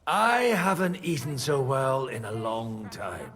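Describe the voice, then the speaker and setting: A man's voice: mid-range voice, animated, takes it slowly, a bit bright, breathy edge, big-room sound, exaggerated voice. An actor playing a cynical, flirtatious middle-aged medieval man with a dry, sardonic delivery in a game cutscene. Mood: courteous, flirtatious.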